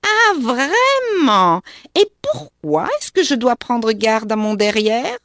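A woman voicing a spiteful old witch with a small, sharp, sour voice, sneering and drawing out her words in a storybook reading. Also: on the high side, big pitch swings, dry recording, studio quality, voice pushed up, full character voice. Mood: indignant. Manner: sneering, mocking, drawn-out words.

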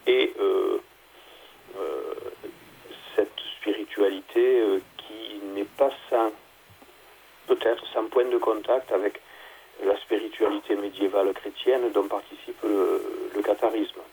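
A man, a theology guest, explaining at length in a halting conversational way, with many fillers and restarts. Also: lowish voice, steady tone, creaky, darkish tone, a little hiss or hum, rough recording.

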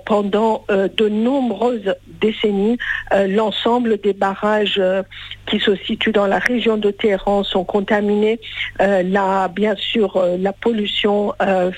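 An interview guest from Iran, a woman speaking at a middle pitch in an earnest, grave way. She hesitates often, filling gaps with drawn-out filler sounds between short phrases. The sound is dry, with no room around her voice. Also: no room sound, rough recording. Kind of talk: radio interview answer.

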